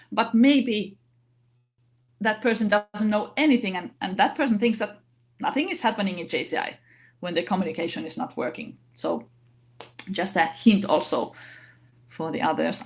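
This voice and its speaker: A woman speaking English as a non-native language, giving an online session talk in a halting way with many fillers and restarts. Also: medium pitch. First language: not English. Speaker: organization vice president giving a talk.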